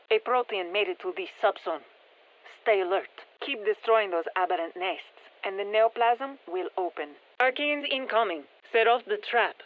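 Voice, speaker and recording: Brisk military scientist lady for a game, giving squad orders, thin phone-like radio sound, focused and clipped. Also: medium pitch. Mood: brisk, instructive, then urgent. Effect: radio filter. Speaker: mission control scientist. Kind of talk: scripted game mission briefing. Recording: tinny band-limited radio transmission.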